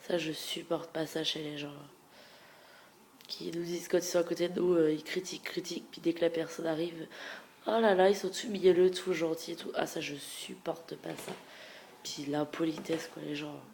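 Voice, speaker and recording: Young woman, blocked-up nasal voice from a cold, low gravelly tone, chatty and frank, faint background hiss. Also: steady tone, normal speed. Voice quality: nasal, congested voice. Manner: chatty, frank, trailing off into soft murmurs. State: stuffy head cold. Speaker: beauty vlogger. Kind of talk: casual Q&A tag video.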